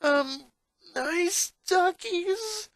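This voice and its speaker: A man's voice: very high voice, expressive, very slow, a bit bright, no echo, pitched above the performer's own voice, heavily acted. A man voicing an anxious, indignant young boy in a story reading, with a whiny, strained and emotional delivery. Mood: nervous. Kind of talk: dramatized fan fiction reading.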